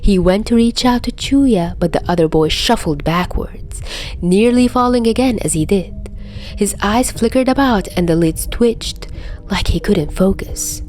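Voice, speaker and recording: A woman's voice: mid-range voice, lively intonation, unhurried, a little mellow. A woman reading scripted fiction narration in an audiobook-like storytelling style. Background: background music.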